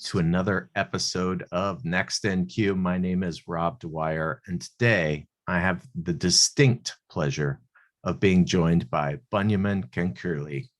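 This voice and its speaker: A middle-aged man hosting a podcast over a video call, asking steady, friendly questions. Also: sits low, a little slow. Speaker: podcast host. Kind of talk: conversational interview. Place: home office.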